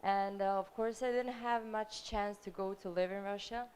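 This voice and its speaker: A young woman with a Serbian accent, a native Serbian speaker, sharing her experience from the audience in a friendly, hesitant way with many pauses. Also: medium pitch, fairly even intonation, a little mellow, clean tone. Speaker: audience member. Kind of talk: spontaneous audience comment. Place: lecture hall.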